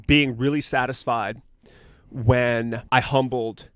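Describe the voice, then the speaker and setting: A man's voice: medium pitch, fairly even intonation, slow pace, fairly bright tone, clean tone, no echo. An American man co-hosting a podcast, telling stories in casual banter with blunt, profane sarcasm. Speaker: podcast co-host.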